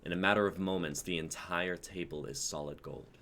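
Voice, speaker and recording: A man's voice: low-pitched, fairly even intonation, fairly quick, gravelly, bright, forceful tone. A young man reading scripted dramatic narration of scene action as the narrator. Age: young adult.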